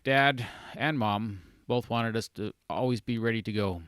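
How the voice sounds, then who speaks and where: A man's voice: lowish voice, a little slow, fairly bright tone, no room sound, studio quality. A man voicing a practical, level-headed young man in a storybook, explaining plans plainly.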